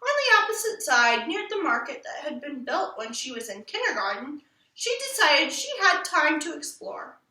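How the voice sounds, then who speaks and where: A woman reading a children's book aloud in an expressive storytelling style. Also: sits high, moderate pace. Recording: roomy echo, distant from mic.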